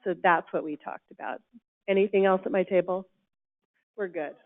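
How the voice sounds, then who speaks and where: A woman with a loud voice, reporting her table's discussion back to a conference room. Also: lowish voice, fairly even intonation, moderate pace. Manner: loud, emphatic speaker. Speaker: table spokesperson at a workshop. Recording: tinny phone-like sound.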